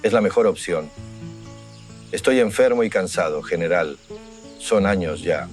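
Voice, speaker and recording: An actor playing a weary, ailing yet resolute general and liberator in a historical audio drama, speaking in a solemn, formal way. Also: male voice, sits low, lively intonation, unhurried, soft background music. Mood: weary, confidential.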